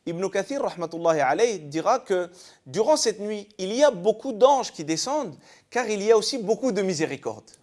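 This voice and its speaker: A man's voice: high-pitched, lively intonation, fairly quick, darkish tone. A man from eastern France giving an explanatory religious talk as a panel guest, in a didactic way with rhetorical questions.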